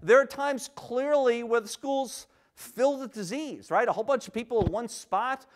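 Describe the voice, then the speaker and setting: A man's voice: extremely high pitch, moderate pace. Middle-aged man giving a conversational lecture. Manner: conversational, frequent "right?" and "I mean". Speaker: history lecturer. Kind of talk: public history lecture. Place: auditorium with seated audience.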